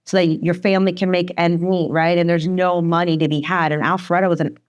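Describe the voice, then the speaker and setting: A woman in her forties, a divorce attorney telling her story as a podcast guest, talking fast and chatty with frequent laughs and a slight Northeastern American accent. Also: fairly low pitch, little pitch movement, smooth. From Sweden. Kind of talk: candid podcast conversation.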